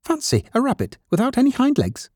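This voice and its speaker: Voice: medium pitch, huge pitch swings, brisk, darkish tone, dry recording, clean studio sound, voice pushed up. A narrator voicing a brash, teasing young male wild rabbit in a children's storybook, blunt and challenging.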